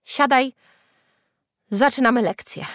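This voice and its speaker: A woman's voice: high voice, takes it slowly, fairly bright tone, dry recording, studio quality, pitched above the performer's own voice. Woman playing a stern, exasperated schoolteacher. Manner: firm, commanding.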